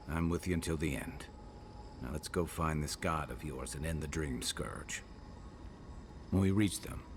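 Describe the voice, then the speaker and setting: An actor playing a weary, loyal, regretful companion in a video game, speaking in an earnest, deliberate, reflective way. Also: male voice, rumbling low, a little hiss or hum. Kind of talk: video game dramatic dialogue.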